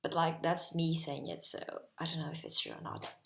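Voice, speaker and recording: A woman's voice: low-pitched, huge pitch swings, a little fast, gravelly, reverberant. A 19-year-old woman from Portugal with a Portuguese accent, chatting casually and rambling to camera from her bedroom, full of "like". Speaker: vlogger.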